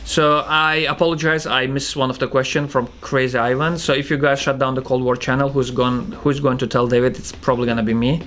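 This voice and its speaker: A young man with a thick Russian accent, casually answering viewer questions as a channel co-host, halting with many fillers and restarts. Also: medium pitch, little pitch movement, fairly bright tone. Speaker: channel co-founder hosting Q&A. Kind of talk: casual Q&A chat.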